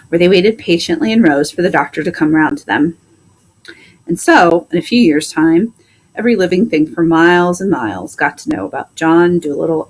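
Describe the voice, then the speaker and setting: A woman reading a children's story aloud as an expressive storyteller. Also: fairly low pitch, rough recording.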